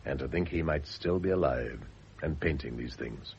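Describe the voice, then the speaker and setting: A man acting in a radio drama as a wealthy middle-aged gentleman art lover, urbane, flippant and a little pompous, with a teasing way of talking. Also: rumbling low, moderate pace, creaky. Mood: flippant, jovial. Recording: noisy, muffled vintage radio recording.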